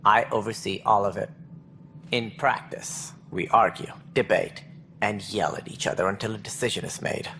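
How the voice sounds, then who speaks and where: An actor playing an officious alien station director in a video game, speaking precisely and formally with a wry, earnest streak. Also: male voice, fairly low pitch, fairly even intonation, moderate pace, sharp tone, slight background noise. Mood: wry, exasperated. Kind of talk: video game dialogue acting.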